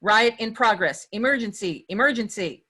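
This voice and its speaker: Automated sci-fi emergency announcer played by a woman: forceful, bright, formal alert delivery. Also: a little high, expressive, normal speed, slightly rough, full character voice. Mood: urgent alarm. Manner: repetitive, formal alert phrasing. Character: automated gender-neutral ship emergency announcement voice. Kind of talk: screenplay table read.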